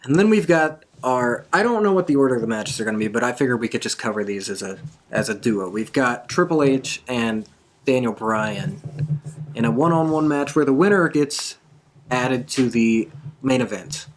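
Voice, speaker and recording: A man's voice: medium pitch, animated, normal speed. A man hosting a podcast, giving predictions in a casual, conversational way as he thinks aloud. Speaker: podcast host. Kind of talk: casual wrestling prediction talk.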